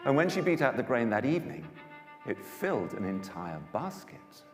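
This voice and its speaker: A man narrating a dramatised Bible story with expressive storytelling delivery. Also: medium pitch, normal speed, over music. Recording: echoey hall reverb.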